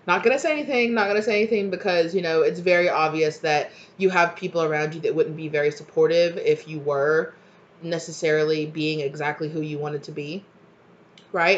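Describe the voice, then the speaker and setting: A woman in her thirties, a little stuffed up from a cold, giving a chatty, reassuring tarot reading to her webcam in a home kitchen, with many fillers and asides. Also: low voice. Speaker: tarot reader. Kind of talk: casual channeled tarot reading to camera. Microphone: webcam at desk distance. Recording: slightly dull webcam audio.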